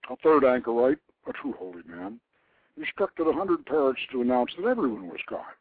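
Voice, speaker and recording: An older man hosting a radio show, reading aloud and commenting informally in a deliberate storyteller's manner. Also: mid-range voice, sing-song, no room sound. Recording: narrow phone-like line, dry.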